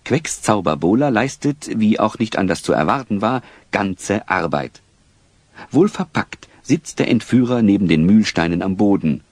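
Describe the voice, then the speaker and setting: A man's voice: on the low side, expressive, normal speed, no room sound. A man narrating an audio play as a scripted, dramatic storyteller. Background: music.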